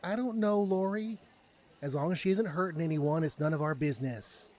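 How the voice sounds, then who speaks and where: A man plays an earnest young barista in an audio drama. His voice is a bit high, and he speaks in a halting, reluctant way, easily flustered. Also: darkish tone, close to an everyday voice. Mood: reluctant, exasperated. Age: young adult. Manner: halting, exasperated, conscientious.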